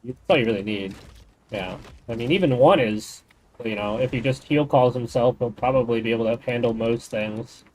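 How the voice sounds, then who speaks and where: A man's voice: mid-range voice, normal speed, darkish tone, slight background noise, low-quality recording. A man streaming a game, chatting casually and explaining the game's mechanics in detail. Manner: rambling, thinks aloud. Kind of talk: casual gaming chat.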